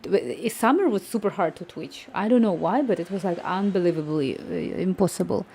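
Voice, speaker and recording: Softly mellow woman coding live. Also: fairly low pitch, a little fast, slight background noise. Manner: thinking aloud, reading chat, many restarts. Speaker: coding streamer. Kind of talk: live-stream coding chat.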